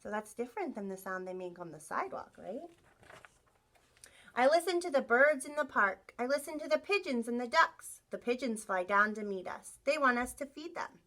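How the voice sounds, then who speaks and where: A woman teacher reading a picture book aloud to young children in an expressive storytelling style, acting out sound effects. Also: on the high side, moderate pace. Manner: animated, acts out sound effects, asks listeners questions.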